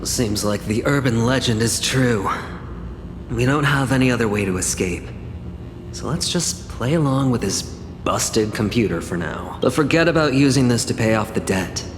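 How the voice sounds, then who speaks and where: An actor playing a wry, laid-back young man deep in debt in a video game. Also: lively intonation, normal speed, close to an everyday voice. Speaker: game character. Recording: echoey room with long reverb, noise.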